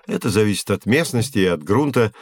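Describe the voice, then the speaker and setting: Science teacher for educational audio drama: low, patient, articulate, recorded dry. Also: male voice, lively intonation, no echo. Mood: explaining. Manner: measured, explanatory, articulate. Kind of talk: scripted educational audio play.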